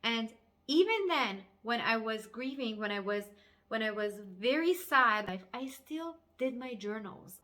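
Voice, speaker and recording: A woman's voice: mid-range voice, a bit bright. A woman with a Persian accent, a native Farsi speaker, talking as a life coach in an earnest, encouraging, emphatic motivational address. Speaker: life coach and video host. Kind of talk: motivational talk to camera.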